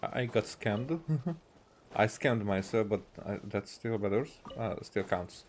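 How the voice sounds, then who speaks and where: A man from Hungary doing casual gameplay commentary, halting and thinking aloud to himself. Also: on the low side, animated, slight background noise. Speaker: video game streamer.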